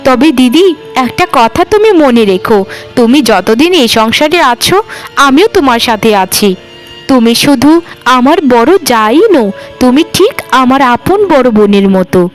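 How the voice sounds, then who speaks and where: A woman's voice: on the high side, expressive, normal speed, faint music behind, close to an everyday voice. A woman voicing a weary, aggrieved village sister-in-law in a melodramatic cartoon, complaining plaintively. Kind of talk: cartoon voice acting, melodramatic dialogue.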